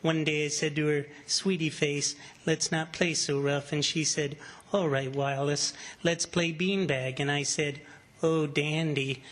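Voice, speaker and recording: A woman's voice: sits low, steady tone. A woman playing a meek, henpecked husband with a prim, mild manner in an old-time radio comedy. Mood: meek, wistful. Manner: prim, mild, drawn-out understatement.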